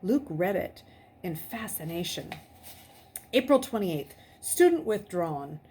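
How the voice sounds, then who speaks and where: A woman reading a novel aloud as an expressive storyteller. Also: lowish voice, slow pace, slight background noise. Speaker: audiobook reader.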